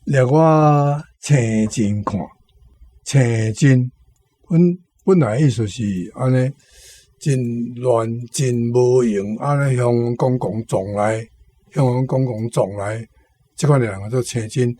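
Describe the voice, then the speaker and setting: A man with a Taiwanese accent giving informal commentary, explaining in a halting, repetitive way. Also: mid-range voice, dark tone, breathy edge.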